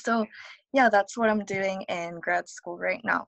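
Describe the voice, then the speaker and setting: A woman's voice: mid-range voice, normal speed, creaky, a bit bright, no room sound. A young woman from South Korea, a native Korean speaker, telling her personal story as an interview guest in a conversational way. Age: young adult.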